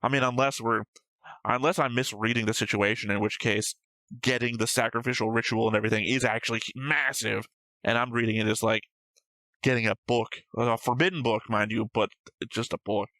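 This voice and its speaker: A man's voice: medium pitch, fairly even intonation, brisk, pushed and bright, no room sound, poor audio. A man co-hosting a reaction show, chatting casually and analytically, thinking aloud and swearing freely. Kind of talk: casual analytical chat about an anime episode.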